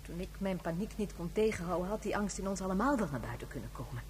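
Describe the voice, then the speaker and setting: An actress playing a very old, gentle woman who reflects and reminisces in a measured way, in a science fiction radio drama. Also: on the low side, sing-song. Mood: confiding. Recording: hollow long reverb, humming background noise.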